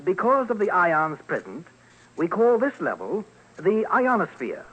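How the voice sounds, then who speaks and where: An actor playing an earnest scientist in a radio documentary, explaining things emphatically. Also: male voice, high-pitched, wildly swooping pitch, a little slow, fairly bright tone, breathy edge, highs cut off, exaggerated voice. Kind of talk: old-time radio documentary acting.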